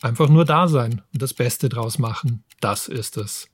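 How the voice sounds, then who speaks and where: A man's voice: medium pitch, unhurried, slightly rough, no echo, clean studio sound. A man co-hosting a book podcast in a conversational reviewing style.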